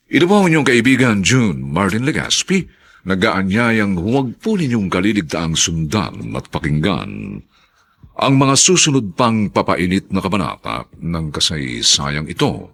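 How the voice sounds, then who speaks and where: A man narrating and announcing a radio drama in a solemn, florid, theatrical way, with a husky voice. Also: fairly low pitch, a bit bright. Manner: solemn, florid, drawn-out phrasing.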